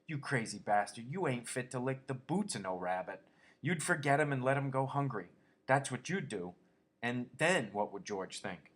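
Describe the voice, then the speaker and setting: A man's voice: mid-range voice, animated, moderate pace, a bit bright, voice pushed up. A man voicing a giant imaginary rabbit that taunts and sneers with scorn. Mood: scornful.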